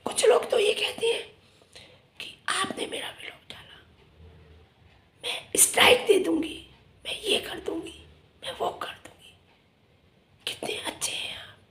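A middle-aged woman talks straight to her phone camera in a small room, her voice hoarse and dropping into a breathy whisper. She speaks emphatically, her pitch very high. The room adds a noticeable echo. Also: a little slow, long pauses, fairly bright tone. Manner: emphatic, whispery from a sore throat. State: hoarse, lost voice. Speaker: vlogger. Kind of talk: casual talk to camera.

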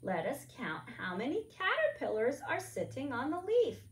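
Female preschool storytime presenter, middle-aged, high sing-song voice, encouraging, recorded in an echoey room. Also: wildly swooping pitch, normal speed. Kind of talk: preschool counting lesson, child-directed. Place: indoors, echoey room beside a board. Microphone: camera a few feet away. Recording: echoey room, distant microphone.

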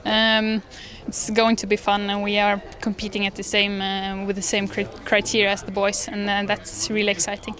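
A woman's voice: mid-range voice, completely flat delivery, normal speed, few pauses, a bit bright. A young woman from Norway with a Norwegian accent, answering interview questions casually in a hesitant, good-humoured way. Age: young adult. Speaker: ski jumper being interviewed. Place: large indoor lobby. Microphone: handheld reporter microphone.

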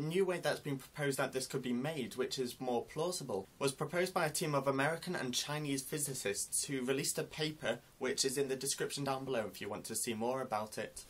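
A young man talks straight to the camera, earnestly explaining science news in a steady, mid-pitched voice. His hands keep moving as he lays out each point. The sound comes from a camera mic a desk's length away in a small indoor room. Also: breathy edge. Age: young adult. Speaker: science news video host.

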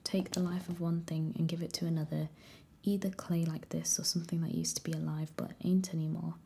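A woman's voice: sits low, little pitch movement, fairly quick, vocal fry, dark tone. A woman whispering as a smug Cockney boy. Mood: disdainful. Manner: whispered, blunt and condescending. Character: surly boy with pudgy face, smug and bossy.

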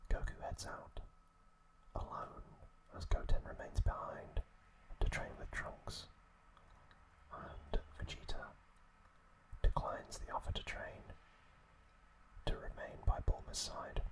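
A man whispering breathily in a halting, gentle ASMR storytelling recap, close to the microphone. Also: rough recording. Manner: slow, halting phrases with pauses. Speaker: ASMR host.

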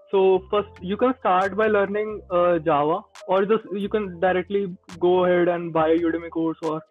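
A man in his twenties with an Indian accent, giving informal advice as a guest in a video-call interview. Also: high-pitched. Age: 20s. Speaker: podcast guest, Android developer. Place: small indoor room. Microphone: earbuds on a video call. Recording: tinny phone-like call audio, faint music.

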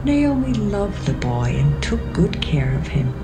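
A woman narrating scripture from a script in a measured storytelling manner. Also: low voice, sing-song. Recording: music behind the voice.

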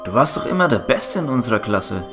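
A man's voice: medium pitch, sing-song, a little fast, over music. A man playing an astonished young classmate in an audio drama. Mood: amazed. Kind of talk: German audio drama acting.

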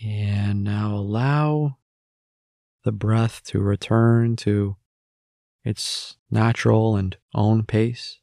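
A man with a fairly low voice leads a guided meditation. He speaks very slowly, giving gentle instructions and leaving long pauses. The recording is close and dry, with little sense of a room. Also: fairly even intonation, gravelly, no room sound.

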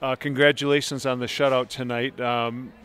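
A middle-aged man hosts a postgame show beside the boards of an indoor ice rink. He talks into a handheld microphone in a relaxed, friendly way, full of little fillers. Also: medium pitch, moderate pace, clean tone. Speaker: sports broadcaster host. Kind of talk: postgame interview.

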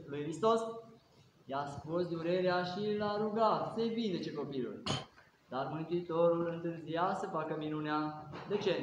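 A middle-aged man with a Romanian accent preaches a sermon in a fairly high voice, pressing home his points with rhetorical questions. His words ring through a big church with heavy echo. The sound is a little dull and muffled. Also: slight background noise. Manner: exhorting, rhetorical questions, earnest preaching cadence. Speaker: Orthodox priest preaching. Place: large ornate church, indoors. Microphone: no mic, camera a few steps away.

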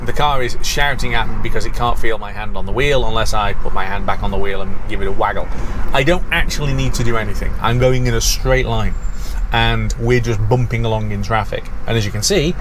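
A man's voice: fairly low pitch, brisk. Chatty man driving in traffic. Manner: chatty, explanatory, mildly exasperated. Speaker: EV vlogger. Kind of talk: casual driving commentary. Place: inside a car on a motorway. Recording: car interior with road noise. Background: road and engine noise.

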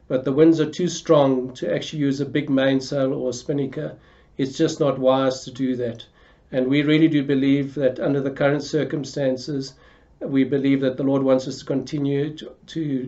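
Earnest older pastor, lo-fi. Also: male voice, medium pitch, warm tone. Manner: earnest, repeats words when restarting. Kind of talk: church announcement to camera. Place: home living room, seated in armchair. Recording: dull lo-fi home video sound.

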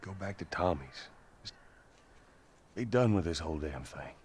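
An actor playing a weary, gruff middle-aged male survivor who is protective and quietly tired. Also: sits low, expressive, normal speed, a little hiss or hum. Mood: tender, weary.

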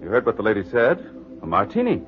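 An actor playing a suave, self-assured millionaire with formal, urbane speech, in 1940s radio drama style. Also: male voice, fairly low pitch, huge pitch swings, quick pace, a little hiss or hum. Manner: smooth-talking, formal, urbane. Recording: muffled vintage 1940s radio recording.

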